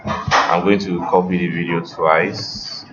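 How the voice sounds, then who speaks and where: Deep-voiced man, slow, in a noisy room. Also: flat delivery. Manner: halting, thinking aloud while working. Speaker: web design instructor. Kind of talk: informal screen-recorded software tutorial. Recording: muffled, roomy, noisy home recording.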